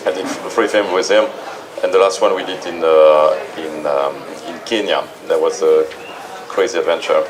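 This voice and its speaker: A man's voice: very deep voice, fairly even intonation, moderate pace, crowd noise, low-quality recording. A man from France, a film producer, speaking hesitantly on a festival panel with many fillers. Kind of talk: panel talk.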